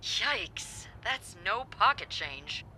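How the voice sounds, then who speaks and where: An actress playing a breezy, playful receptionist and nosy confidante in a video game. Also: female voice, mid-range voice, big pitch swings, extremely slow, bright, forceful tone, breathy edge, heavily acted, poor audio. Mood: playful, intrigued. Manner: playful, conspiratorial.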